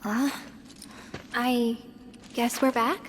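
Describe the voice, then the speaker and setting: A woman playing a gentle young adventurer in a video game, speaking lightly and tentatively. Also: a little high, little pitch movement, drawn-out words, slight background noise. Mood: unsure. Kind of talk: video game cutscene acting.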